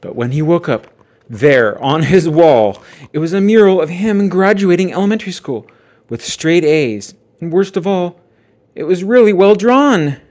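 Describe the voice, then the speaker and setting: A man narrating a children's book aloud as dramatic storytelling, with theatrical emphasis and suspenseful pauses. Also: sits high, animated, darkish tone.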